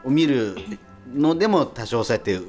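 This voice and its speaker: Lively man on radio. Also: mid-range voice, moderate pace. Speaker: radio host, stage actor. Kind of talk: casual radio chat. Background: background music.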